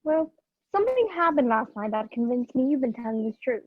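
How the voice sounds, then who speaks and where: Woman playing spirited young woman, radio play, high voice, sharp-tongued, slightly muffled video-call sound. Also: sing-song, brisk, no echo. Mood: hesitant, confiding. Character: feisty, sharp-tongued young woman reluctantly helping a fugitive. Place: video call, dry room.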